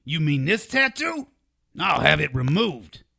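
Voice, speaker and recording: An actor playing a funny-looking little magical man in an audio drama, wisecracking, sarcastic and lecherous, with a raspy, creaky voice. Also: on the high side, sing-song, unhurried, sharp tone, exaggerated voice. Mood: indignant. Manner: sarcastic, griping, exclamatory.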